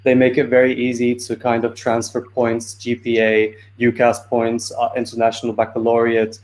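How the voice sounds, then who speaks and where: Man, 20s, student presenter from Turkey. Medium pitch, fairly flat intonation, informal webinar talk with frequent filled pauses. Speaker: student speaker. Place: indoor room on a video call. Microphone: video call microphone.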